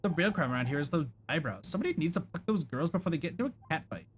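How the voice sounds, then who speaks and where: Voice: medium pitch, animated, racing, a little hiss or hum, lightly acted. A performer voicing a flamboyant, sassy male hair and makeup artist in a video game, with theatrical delivery.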